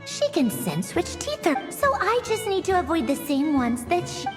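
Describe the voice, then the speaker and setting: A woman's voice: very high-pitched, big pitch swings, normal speed. A woman voicing a haughty, easily flustered young puppet in a video game, huffy and snappish. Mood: grumbling, dismissive. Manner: huffy, snappish, sputtering protests. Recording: loud game music over the voice.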